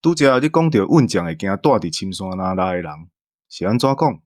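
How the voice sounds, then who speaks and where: Animated Taiwanese male co-host. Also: low-pitched, fairly quick. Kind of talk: conversational questioning.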